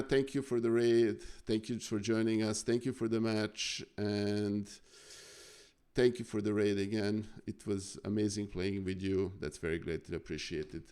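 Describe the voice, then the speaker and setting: A man from Serbia, casually chatting on a live stream while going over chess games, halting with many fillers and thanking viewers. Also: fairly low pitch, fairly even intonation, darkish tone, smooth.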